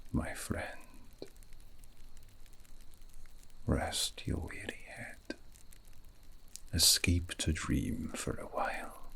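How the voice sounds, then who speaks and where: A man playing a gentle, wistful fantasy mage in an ASMR roleplay, soothing a weary friend to sleep in a formal, poetic way with a low, breathy whisper. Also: heavily acted.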